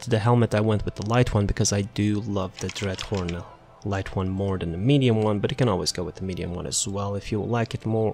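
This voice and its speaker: Low-voiced man casually narrating gameplay. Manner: relaxed, rambling, many fillers and restarts. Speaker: gaming content creator. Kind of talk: casual build showcase commentary.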